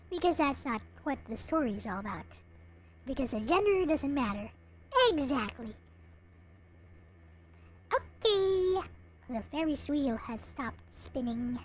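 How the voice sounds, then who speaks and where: A woman's voice: high-pitched, sing-song, slow, long pauses, slight background noise. A woman livestreamer chatting casually to her viewers in a hesitant, rambling way. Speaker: live streamer, host.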